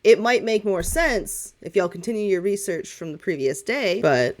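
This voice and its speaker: Lady running a tabletop game, normal pitch, explaining stuff off the cuff, bit hedgy. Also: lively intonation, moderate pace. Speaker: game master. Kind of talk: improvised tabletop game narration.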